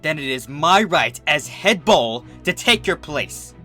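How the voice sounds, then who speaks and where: A man voicing a huge, proud father bull who leads his herd, indignant and booming in a storybook reading. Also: big pitch swings, measured pace, sharp tone, faint music behind, full character voice. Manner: booming, blustering.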